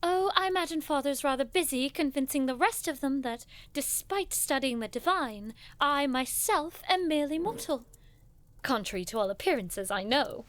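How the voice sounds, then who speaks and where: A woman's voice: sits high, expressive, moderate pace, no room sound. A woman acting in an audio drama as a proud, witty young noblewoman who studies magic, speaking in a wry, formal, haughty way. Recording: music behind the voice.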